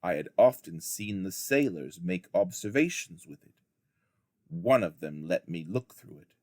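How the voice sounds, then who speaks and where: A man reading a memoir aloud as a teacher would, with deliberate, dramatic phrasing. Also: low-pitched, sing-song, a little mellow, no room sound, studio quality. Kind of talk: expressive audiobook narration of a memoir.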